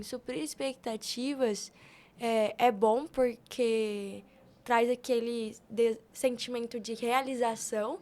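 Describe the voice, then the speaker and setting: A woman's voice: a little high, a little hiss or hum. A young woman in casual conversation, speaking earnestly and colloquially, repeating phrases for emphasis in a motivational way. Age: young adult. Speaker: podcast guest.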